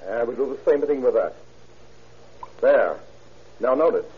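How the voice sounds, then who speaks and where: A man's voice: mid-range voice, lively intonation, close to an everyday voice. Man playing a careful old-radio police chemist, muffled. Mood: careful, explanatory. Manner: deliberate, explanatory, hesitant murmurs. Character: careful, unhurried male police laboratory chemist. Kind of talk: old-time radio crime drama acting. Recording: dull, noisy vintage radio recording.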